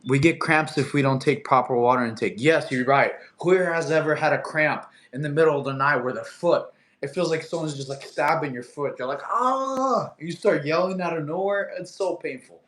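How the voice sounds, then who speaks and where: A man's voice: a little high, normal speed, hardly any pauses, a bit bright. A man in his thirties whose first language is Russian, casually chatting on a livestream, reading comments and laughing often. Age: 30s. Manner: laid-back, chatty, laughs often, talks to his cat. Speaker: livestream host. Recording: echoey room.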